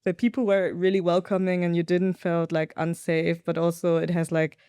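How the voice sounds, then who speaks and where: A young woman from Germany, a podcast guest, telling travel stories in a thoughtful, lively way. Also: on the low side, normal speed, clear, resonant tone, no room sound. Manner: thoughtful storytelling, lively when quoting others. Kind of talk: casual conversational interview.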